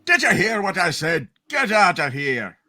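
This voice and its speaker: A man's voice: sits high, big pitch swings, a little slow, pushed and bright, voice pushed up, exaggerated voice. A man voicing a grieving, short-tempered older druggist who barks his lines in an amateur radio play reading. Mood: irritable, angry.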